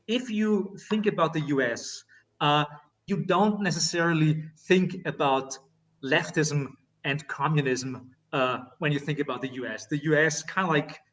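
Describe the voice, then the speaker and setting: A man's voice: fairly high pitch, a bit bright, far from the mic. A man with an Austrian accent hosting an interview podcast in a casual, chatty way, with many fillers and restarts. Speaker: podcast host.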